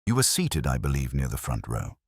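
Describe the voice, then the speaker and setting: A man voicing a mild, shrewd English police inspector in a mystery story, speaking gently, smoothly and politely. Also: extremely low pitch, huge pitch swings, brisk, no echo, clean studio sound, exaggerated voice. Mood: polite, measured. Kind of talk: audio mystery story dialogue.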